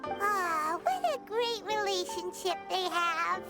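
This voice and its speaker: A woman's voice: extremely high pitch, animated, takes it slowly, full character voice. A woman voicing a tiny floating female game companion who chirps nosy, exclaiming remarks. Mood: touched. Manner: exclaiming, gossipy commentary. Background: game music.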